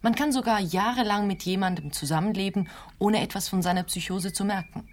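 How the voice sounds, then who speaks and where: A woman's voice: mid-range voice, brisk, close to an everyday voice. An actress playing a cautious, earnest female clinic carer in a radio play, speaking in a matter-of-fact way. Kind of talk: German-language radio play acting.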